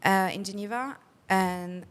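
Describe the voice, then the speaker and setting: A woman's voice: medium pitch, measured pace, clear, resonant tone. A woman from Luxembourg with a French accent, chatting casually as an interview guest on a video call, with filler words and restarts. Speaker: interview guest, company executive.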